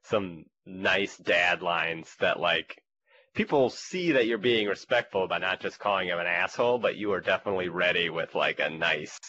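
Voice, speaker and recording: A man's voice: low voice, moderate pace, bright, forceful tone. A man co-hosting a podcast, chatting casually and joking in a laid-back way. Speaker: podcast co-host. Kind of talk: casual chat. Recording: dull lo-fi sound with faint noise.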